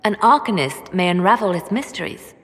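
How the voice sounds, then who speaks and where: A woman voicing a poised, businesslike advisor in a fantasy video game, with a measured, formal delivery. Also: mid-range voice, animated, a bit bright, slightly rough. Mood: serious briefing. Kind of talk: video game cutscene briefing.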